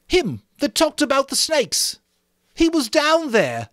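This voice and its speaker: A shrill, high-pitched schoolboy outcast in an audiobook. He whines and protests indignantly, scolding the others, his pitch leaping as panic creeps in. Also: huge pitch swings, slow pace, fairly bright tone, no room sound, clean studio sound, exaggerated voice. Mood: gasping alarm. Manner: shrill, whining, protesting, gasping delivery. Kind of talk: dramatic audiobook reading of a novel.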